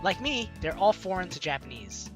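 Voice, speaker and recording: Lively low-pitched female let's player. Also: normal speed, over music. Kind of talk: expressive read-aloud narration and casual game commentary.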